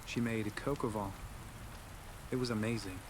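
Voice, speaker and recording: A man's voice: on the low side, steady tone, moderate pace, lightly acted. A man playing an anxious, introspective man in a game, speaking confidingly in a reflective first-person monologue. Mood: wistful, fond. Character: Adam, anxious introspective man narrating his troubled life. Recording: clear rain noise behind.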